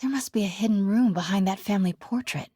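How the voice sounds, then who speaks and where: A woman playing a determined young reporter in a video game, breathy and often hushed, with a wry way of talking to herself. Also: medium pitch, quick pace, dry recording, studio quality, close to an everyday voice. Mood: curious, hushed. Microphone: close, dry studio mic.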